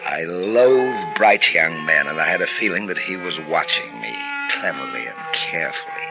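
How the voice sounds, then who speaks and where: Low, oily killer-doctor narrator, vintage radio drama. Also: male voice, lively intonation, normal speed. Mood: cold. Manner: smooth, coldly calculating, murmuring asides. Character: sinister middle-aged male poisoner doctor narrating his crimes. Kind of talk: 1940s radio acting. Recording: dull old radio transcription with music.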